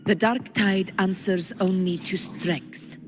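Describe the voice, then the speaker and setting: A woman voicing a proud, haunted warrior ruler in a video game, speaking in a solemn, measured, confiding way. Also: mid-range voice, fairly even intonation, background noise, close to an everyday voice. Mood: brooding. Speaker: game character. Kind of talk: scripted dramatic game dialogue. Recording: voice under loud game music.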